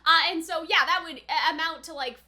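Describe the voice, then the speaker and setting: A young woman giving casual, excitable reaction commentary as a YouTuber, rambling and giggly, drifting into tangents. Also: very high voice, a little fast. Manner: giggly, rambling, animated, filler-heavy tangents. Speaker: YouTuber reacting to an anime episode.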